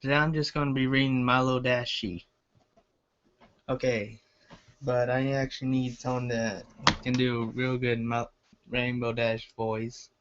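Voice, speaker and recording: A man reading aloud as an amateur storyteller, in a plain, slightly halting way. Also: mid-range voice, level tone, fairly bright tone, a little hiss or hum. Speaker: fan fiction reader, video creator. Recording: dull lo-fi home recording.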